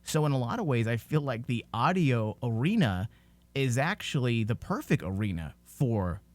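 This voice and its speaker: A man's voice: mid-range voice, big pitch swings, normal speed, no echo. A man hosting a podcast, talking casually and giving his opinions emphatically. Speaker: podcast host.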